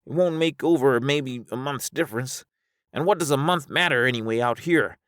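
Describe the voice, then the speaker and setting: A man voicing a weary, philosophical spaceman in a science fiction audiobook, folksy and excitable as he reasons aloud. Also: medium pitch, normal speed, no room sound, studio quality, pitched above the performer's own voice, lightly acted. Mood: weary musing. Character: high-voiced drawling spaceman, weary, resigned, philosophical castaway.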